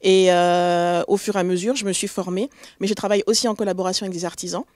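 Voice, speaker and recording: A woman's voice: mid-range voice, fairly even intonation, fast, clean studio sound. Woman from togo, chatting as a guest, lots of ums, mid voice, no room echo. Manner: hesitant, many fillers, warm and proud. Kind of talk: interview answers.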